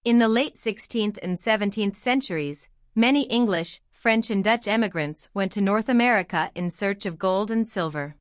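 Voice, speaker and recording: A woman's voice: medium pitch, normal speed, few pauses, dry recording. Woman reading aloud, dry sound. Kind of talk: scripted read-aloud of textbook passage.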